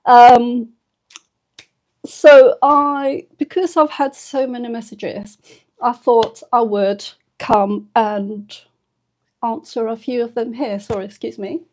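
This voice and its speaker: A woman in her fifties chats with her viewers in an unhurried, fairly high voice. She sounds emotional and a little teary, and her soft, hesitant delivery is full of pauses and fillers. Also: steady tone, rough recording. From United Kingdom. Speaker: channel host. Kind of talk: casual live-stream chat with viewers.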